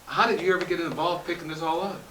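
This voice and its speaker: A man's voice: on the high side, steady tone, quick pace, a bit bright, recorded from a distance. A man hosting an interview in a casual, chatty style. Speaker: interview host. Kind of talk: casual interview chat, short reactions. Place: radio studio. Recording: echoey room, off-mic, faint noise.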